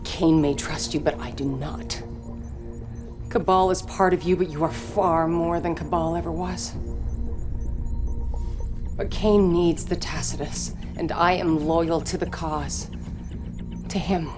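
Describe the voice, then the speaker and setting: Female devoted militant zealot in a game: solemn, intense, deliberate, defiant. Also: low-pitched, fairly even intonation, music in the background. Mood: wary, solemn. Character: earnest, defiant woman devoted to a militant cult. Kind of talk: dramatic game cutscene acting.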